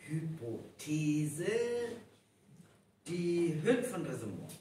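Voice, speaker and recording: Very slow, ponderous male investigator. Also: on the high side, animated, warm tone. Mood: clinical, ponderous. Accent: North Frisian. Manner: clipped, ponderous report-style phrases. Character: clinical male investigator dictating a post-mortem report. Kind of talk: live stage radio play acting. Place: live performance in a hall. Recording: echoey hall, distant, some noise.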